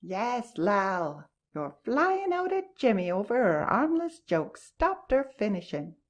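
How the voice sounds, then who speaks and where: Female actor for older, blunt working-class mother in stage satire; glum, with lively rise and fall. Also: mid-range voice, takes it slowly. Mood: explaining. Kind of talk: acted stage satire in audio.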